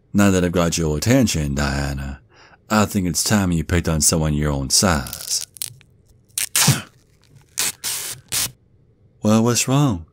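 A man's voice: low-pitched, no echo. A man playing a menacing, mocking, protective werewolf father with a Southern US accent, drawling and taunting in an ASMR roleplay. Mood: cruel, gloating. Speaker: audio roleplay performer.

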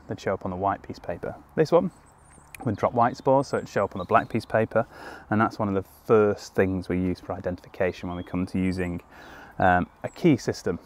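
A man's voice: lowish voice, a little mellow. A young man, crouched in woodland, explaining step by step as a foraging guide with easygoing ums and restarts. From United Kingdom. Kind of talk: outdoor teaching walkthrough. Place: woodland clearing outdoors. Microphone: camera on a tripod at a few metres, crouching in frame.

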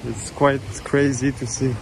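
A man strolls along a beach with his phone and chats about what he sees, his phrasing loose and slightly non-native, dotted with fillers. His voice sits in a middle pitch. The surf and wind wash clearly behind him. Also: flat delivery, measured pace. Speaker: travel vlogger. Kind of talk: casual walking-tour commentary. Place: outdoors on a tropical beach. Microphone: handheld phone camera. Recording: outdoor phone audio with surf noise. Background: waves and wind.

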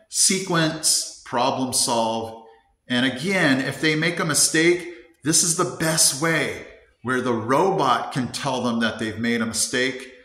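A man's voice: mid-range voice, a bit bright. A man in his fifties, an elementary teacher hosting an upbeat classroom robot demo, counting steps aloud, recorded on a phone held at arm's length. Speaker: elementary teacher, video host. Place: classroom, indoors. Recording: echoey classroom.

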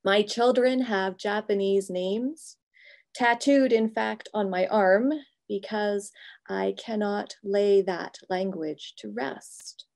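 A middle-aged woman pastor giving a reflective, prepared talk from a home office over a video call, measured and thoughtful, pausing between phrases. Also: mid-range voice, steady tone, creaky, darkish tone. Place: home office with bookshelves, indoors.

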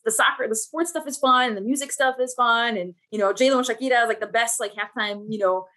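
A woman's voice: on the high side, fast, pushed and bright. A woman in her thirties, from Puerto Rico, with an accent shaped by Mexican Spanish, speaking thoughtfully on a video-call panel. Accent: Mexican-influenced. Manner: thoughtful, restarts often. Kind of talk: casual panel discussion. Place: home office, indoors.

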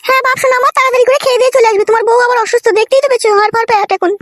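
Extremely shrill, fast-talking village housewife. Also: steady tone, fairly bright tone, dry recording. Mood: motherly. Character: shrill village housewife and mother-in-law, fretful. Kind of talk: Bengali comedy cartoon dub.

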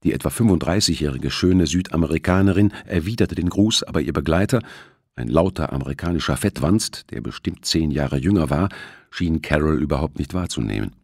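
A man's voice: rumbling low, husky, no room sound, studio quality. A man reading a novel aloud as a scripted audiobook narration.

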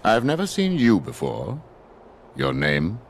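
An actor playing a stern, cold older judge in a video game, speaking with haughty, formal contempt. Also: male voice, on the low side, huge pitch swings, slow, slight background noise, exaggerated voice. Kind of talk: dramatic video game acting.

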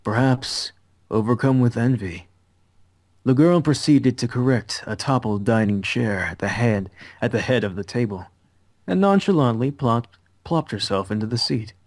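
A man's voice: mid-range voice, normal speed, a little mellow, dry recording, studio quality. A man reading visual novel narration aloud as the narrator of a fan dub.

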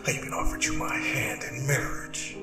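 A man voicing a seductive, gloating Demon King in an ASMR roleplay, breathy and mostly whispered, taunting and intimate with theatrical drawn-out phrasing. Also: lightly acted. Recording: roomy distant echo, music underneath. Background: music.

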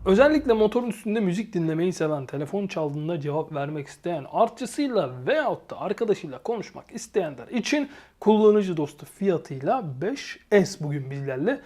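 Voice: mid-range voice, very animated, fairly quick, mellow. A reviewer giving a casual, explanatory product review and addressing viewers informally. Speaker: motorcycle gear reviewer and channel host.

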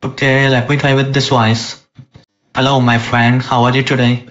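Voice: mid-range voice, level tone, moderate pace, a bit bright. A person speaking as an adult man through an AI voice changer, casually testing a microphone. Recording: dull, processed voice-changer audio.

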